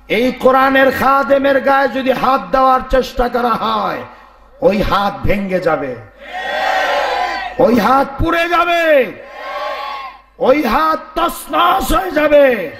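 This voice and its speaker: A man with a hoarse, strained voice preaches an Islamic sermon in a declamatory, rhetorical way to a gathering. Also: sits high. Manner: declamatory, rhetorical, calling on listeners to respond. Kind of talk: fiery sermon to a live congregation. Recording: echoey PA sound, faint crowd.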